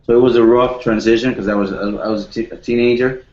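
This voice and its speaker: A man in his twenties from the Dominican Republic, a home studio producer chatting as a guest, modest and halting with many restarts. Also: medium pitch, steady tone, darkish tone, roomy sound, muffled recording. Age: 20s. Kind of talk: casual video call chat.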